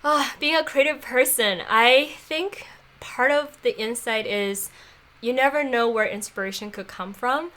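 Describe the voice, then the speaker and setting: Young woman chatting in an interview, bit high voice, warm, lots of ums, laughs a lot. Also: a little slow. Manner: thoughtful, warm, laughs often, many fillers. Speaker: guest, former apprentice. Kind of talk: casual interview chat. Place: makeshift home studio on an island.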